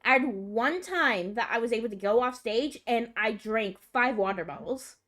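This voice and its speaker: High-voiced young woman rambling. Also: animated, moderate pace, a bit bright. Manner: rambling, hesitant, many fillers. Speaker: streamer. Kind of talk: casual chat.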